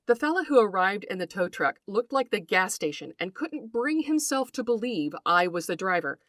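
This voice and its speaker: A middle-aged woman hosting a podcast, reading a scripted narration in an articulate, deliberate storytelling way and speaking directly to her listeners. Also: mid-range voice, very animated, fairly bright tone, dry recording, clean studio sound. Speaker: podcast host, writer and folklorist.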